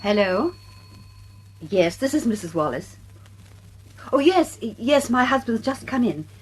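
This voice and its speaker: A polite English wife in an old radio drama. Her voice is fairly high and very animated, a little flustered, with faint noise behind it. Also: a little slow, poor audio.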